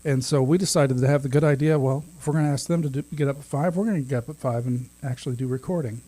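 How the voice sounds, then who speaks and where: A man's voice: mid-range voice, quick pace, mellow, dry recording. A man in his thirties co-hosting a podcast, chatting casually, laughing often and restarting his sentences. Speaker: podcast co-host. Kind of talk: casual chat.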